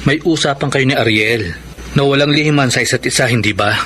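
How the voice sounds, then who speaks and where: An actor with a Filipino accent playing a concerned, slightly jealous best friend in a radio drama. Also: male voice, medium pitch, fairly quick, a bit bright, a little hiss or hum, lightly acted. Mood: reproachful concern.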